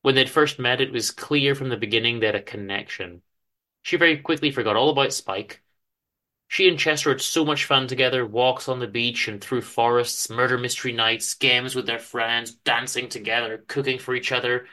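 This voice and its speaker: Bright-voiced man reading a story. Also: medium pitch, fairly even intonation, normal speed. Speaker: author and reader of a story. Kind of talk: dramatic reading of fiction.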